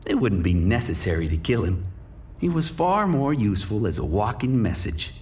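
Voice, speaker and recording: A man's voice: fairly low pitch, very animated, normal speed, darkish tone. An actor playing a bitter, menacing drug dealer who narrates his crime drama with cynical, profane threat. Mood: brooding, cynical. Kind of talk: noir first-person scripted narration and dialogue.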